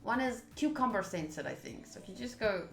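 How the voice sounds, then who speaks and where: A woman's voice: a little high, expressive, fairly quick. A woman streaming a visual novel, reading the text aloud and adding casual asides to viewers. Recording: roomy echo, faint game music behind. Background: faint game music.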